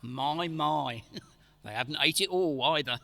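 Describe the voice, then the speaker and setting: A man playing a poor, warm-hearted Victorian clerk and doting father in a radio play performed on stage. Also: fairly high pitch, slow, vocal fry, very noisy. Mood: delighted. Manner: effusive, tender. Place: church, live performance.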